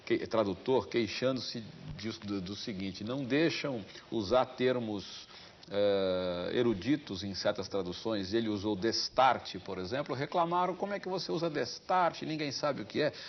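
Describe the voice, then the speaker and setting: A man's voice: on the low side, moderate pace, lo-fi sound, a little hiss or hum. A man hosting a television interview, asking thoughtful, bookish questions in long rambling asides. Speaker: TV interview host. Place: television studio.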